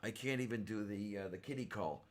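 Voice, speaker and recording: A man hosting a casual livestream chat, rambling and halting, his voice hoarse from a sore throat. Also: lowish voice. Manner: rambling, halting, many fillers. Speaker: livestream host.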